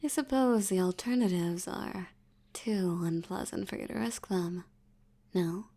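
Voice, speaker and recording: A woman playing a possessive, seductive vampire in an intimate audio roleplay, teasing and commanding, with a breathy voice that often drops toward a whisper. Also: on the low side, warm tone. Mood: smug, possessive. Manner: slow, teasing, commanding, condescending. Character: dominant, possessive, seductive wealthy female vampire.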